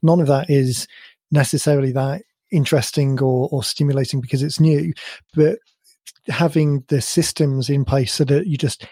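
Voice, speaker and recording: A man co-hosting a podcast in casual chat, his voice a bit croaky, thinking aloud in long rambling sentences. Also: medium pitch, steady tone, normal speed, mellow, no echo. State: slightly croaky voice. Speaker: podcast co-host.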